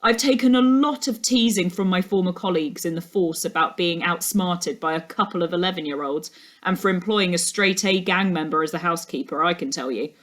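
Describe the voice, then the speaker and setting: Woman reading grown man detective, storybook uncle, earnest, measured, explanatory. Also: lowish voice, a bit bright, lightly acted. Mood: earnest, proud. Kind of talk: storybook dialogue.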